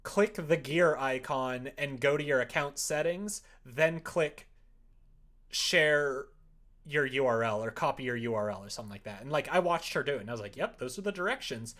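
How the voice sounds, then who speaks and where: A man's voice: mid-range voice, lively intonation, moderate pace, fairly bright tone. A man telling a rambling anecdote in casual livestream chat, acting out exchanges with an exasperated, storytelling manner. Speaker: live streamer.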